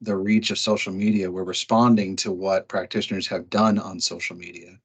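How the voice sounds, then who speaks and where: A man's voice: sits low, little pitch movement, moderate pace, breathy edge. A man firmly arguing his position at a committee meeting on a video call. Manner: firm, argumentative. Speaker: committee member.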